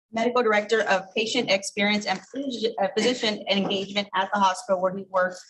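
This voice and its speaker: A woman's voice: medium pitch, noisy background. A woman at a commission meeting, reading a speaker's bio with fillers and light humor. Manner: reads a prepared bio with fillers and light self-deprecating humor. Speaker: commission member introducing a speaker. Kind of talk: formal meeting introduction. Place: meeting room.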